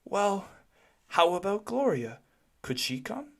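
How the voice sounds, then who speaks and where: A performer voicing a polite, bright female school principal in a children's story, with a singsong, courteous manner. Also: medium pitch, very animated, slow, gravelly, voice pushed up, exaggerated voice. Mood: cheery, coaxing.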